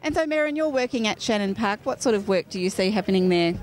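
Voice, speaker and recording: A woman's voice: medium pitch, expressive, quick pace, darkish tone. A woman field reporter with an Australian accent doing upbeat interviews outdoors at a park event. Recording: outdoor event with busy crowd noise.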